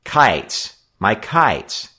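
A man's voice: mid-range voice, sing-song, normal speed, a bit bright, voice pushed up. A man voicing a bold young boy who speaks up indignantly. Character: bold young boy defending his kites.

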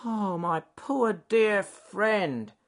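A man voicing a bad-tempered, bossy but soft-hearted beaver with a snappy, commanding manner in a children's story. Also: sits high, animated, normal speed, pitched above the performer's own voice. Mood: gentle.

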